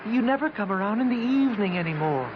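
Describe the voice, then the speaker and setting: High, plaintive shopkeeper's ghost. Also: male voice, lively intonation, fast. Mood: wistful, coaxing. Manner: polite, plaintive. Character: gentle, wistful, insistent male ghost of a shopkeeper. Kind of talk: old-time radio drama acting. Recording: noisy lo-fi radio recording.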